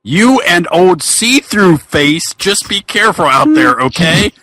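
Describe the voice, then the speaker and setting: Man playing a bright, folksy ex-racer buddy. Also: sits high, big pitch swings, dry recording, full character voice. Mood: earnest, hearty. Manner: halting, stumbling reading. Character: friendly, grateful former racer, folksy male buddy.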